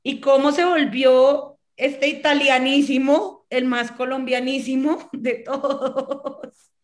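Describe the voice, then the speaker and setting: A woman's voice: high voice, fairly even intonation, slow pace. A woman co-hosting a live webinar over a video call, talking in an upbeat, chatty way. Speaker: co-host.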